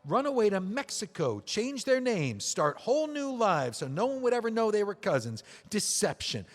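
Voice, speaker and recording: Give me an actor voicing a bitter, sarcastic widower for an audio play, voice a bit high, in a long-echo room. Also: male voice, big pitch swings, normal speed. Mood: angry. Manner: sarcastic, seething, mocking. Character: bitter betrayed widower, man. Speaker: widower writing a memoir. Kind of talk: audio drama acting. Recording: long hall reverb.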